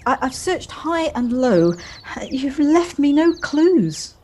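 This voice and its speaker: A woman's voice: high-pitched, sing-song, slow pace, exaggerated voice. A woman playing a polite, effusive, wistful character in an amateur audio drama. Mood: troubled, searching. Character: Josie, wistful, polite, effusive woman rediscovering childlike freedom. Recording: faint noise, home-recorded on personal device.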